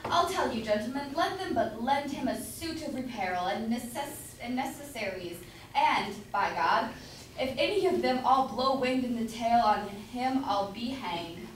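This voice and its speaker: A woman's voice: a little high, animated, a little slow. A woman playing a bossy, meddling citizen grocer and proud husband in an amateur staged reading of an old comedy, blustering and emphatic. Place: indoor room at a college, far from mic. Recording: distant echoey room, faint noise.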